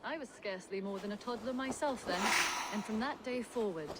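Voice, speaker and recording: A woman playing a proud young huntress in a video game, calm and focused. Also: mid-range voice, fairly quick, natural voice. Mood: reflective. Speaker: game character. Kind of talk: video game dramatic dialogue. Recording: game audio with loud ambient noise.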